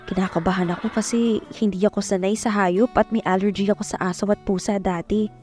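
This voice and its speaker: A woman's voice: medium pitch, fairly even intonation, a little fast, a little mellow, close to an everyday voice. An actress playing a timid, tender young Filipina telling her love story in a romantic audio drama, speaking with a Filipino accent. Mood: nervous. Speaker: narrator and lead actress. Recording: music bed behind voice.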